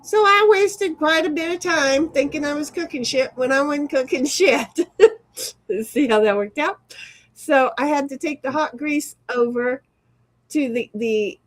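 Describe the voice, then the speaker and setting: A middle-aged woman hosting a relaxed livestream, talking in a loose, rambling way with offhand swearing. Her voice sits a little on the high side. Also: unhurried. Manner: rambling, chatty, swears casually. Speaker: livestream host. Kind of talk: casual livestream chat, reading chat aloud.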